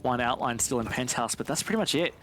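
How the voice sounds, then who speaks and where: A man's voice: medium pitch, fast. A man commentating a live esports match in a conversational, analytical way with casual banter. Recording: game gunfire noise behind voice. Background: video game gunfire.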